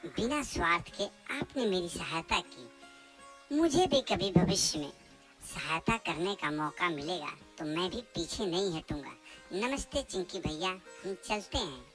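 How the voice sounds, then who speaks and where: A woman's voice: lowish voice, lively intonation, measured pace, soft background music. A woman voicing an eager, stubborn little boy in a children's cartoon, with a pleading childlike manner. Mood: grateful. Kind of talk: children's cartoon story acting.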